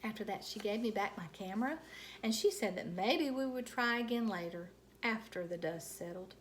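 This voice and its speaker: A woman reading a children's book aloud in an expressive, animated storytelling style with dramatic emphasis. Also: mid-range voice, normal speed, a little hiss or hum.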